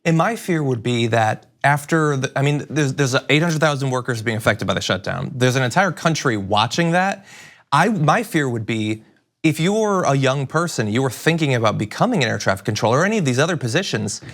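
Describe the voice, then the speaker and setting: A male talk show host with an average-pitched voice conducts a news interview. He is engaged and conversational, phrasing questions at length as he thinks them through aloud. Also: lively intonation, brisk, a bit bright.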